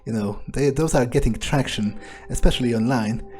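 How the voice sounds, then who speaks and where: A man improvising in-character video game commentary, thinking aloud in a deadpan, callous way with pauses. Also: mid-range voice, soft background music. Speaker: gameplay player staying in character.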